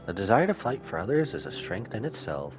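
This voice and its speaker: A man's voice: fairly low pitch, very animated, brisk, music in the background. A man giving casual, slangy live reaction commentary as a game streamer. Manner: slangy, informal.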